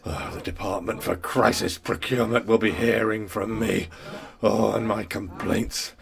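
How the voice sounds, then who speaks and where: A man's voice: on the low side, measured pace, vocal fry, crowd noise, voice pushed down. A man playing a weary, exasperated wartime ministry clerk who mutters and grumbles to himself in a radio play. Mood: weary grumbling.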